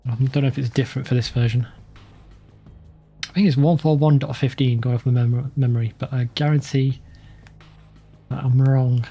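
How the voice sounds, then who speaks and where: A man with a creaky, mellow voice and medium pitch hosts a let's play. He chats casually as game commentary, with faint music behind. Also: fairly even intonation, normal speed, long pauses. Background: game music, keyboard typing.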